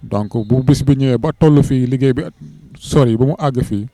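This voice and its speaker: A man's voice: medium pitch, fairly quick, mellow, no room sound. A man from Senegal who works as a civil registrar, speaking earnestly in an interview and mixing in French words. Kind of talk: interview testimonial.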